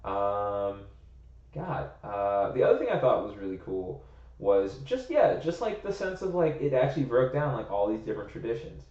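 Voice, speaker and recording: A man's voice: mid-range voice, sing-song, normal speed, a little mellow. A young American man co-hosting a podcast, rambling and thinking aloud in casual chat, leaning hard on "like.". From United States. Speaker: podcast co-host. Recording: roomy, slightly muffled recording.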